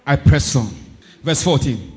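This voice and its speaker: A man preaching a sermon as pastor, impassioned, swinging between shouted declarations and low asides. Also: lively intonation, measured pace, a little hiss or hum. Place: church service.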